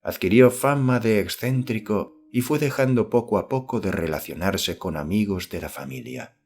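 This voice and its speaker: A man reading a literary horror story as an audiobook narrator, in measured, formal scripted narration. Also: lowish voice, a little mellow.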